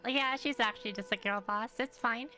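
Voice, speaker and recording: A woman's voice: fairly high pitch, moderate pace, pushed and bright, soft background music. A young woman giving casual, exclamatory, slangy live reactions as she streams a game.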